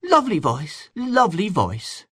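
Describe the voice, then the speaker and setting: A man voicing a fussing, anxious older aunt who bustles briskly through an audiobook story. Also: sits high, wildly swooping pitch, fairly bright tone, husky, no echo, studio quality, pitched above the performer's own voice, heavily acted. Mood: approving.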